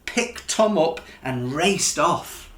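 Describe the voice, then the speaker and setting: A man narrating a children's picture book in an expressive storytelling style, as the author reading aloud. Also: a little high, slow pace, reverberant, a little hiss or hum.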